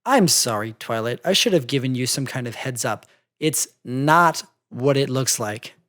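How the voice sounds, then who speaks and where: A story reader voicing a calm, matter-of-fact female cartoon pony, measured and reasonable as she explains. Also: medium pitch, pitched below the performer's own voice, close to an everyday voice. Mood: apologetic, calm.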